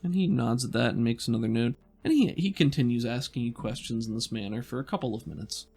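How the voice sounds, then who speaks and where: A man narrating a tabletop roleplaying game as game master, setting scenes and prompting the player in a measured way. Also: mid-range voice, creaky, darkish tone, breathy edge, faint music behind.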